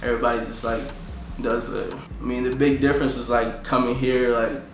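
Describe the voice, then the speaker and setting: A man's voice: medium pitch, level tone, fairly quick, reverberant, soft background music. A young man from Tanzania, a musician talking casually in an interview and filling nearly every phrase with "like".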